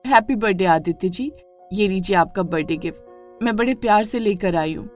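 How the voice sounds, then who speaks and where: A woman's voice: medium pitch, brisk, faint music behind, close to an everyday voice. A woman voicing a sly, attentive young woman who plays the rival in a melodramatic story. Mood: sweet, smug. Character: caring, sly young woman friend Mukta, coolly stealing a husband. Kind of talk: melodramatic Hindi moral story acting.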